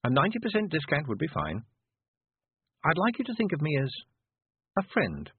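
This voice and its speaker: A man voicing a young witch girl who speaks in a calm, sweetly threatening manner. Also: a little high, normal speed, husky, voice pushed up, heavily acted. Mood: calmly menacing. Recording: tinny phone-like sound.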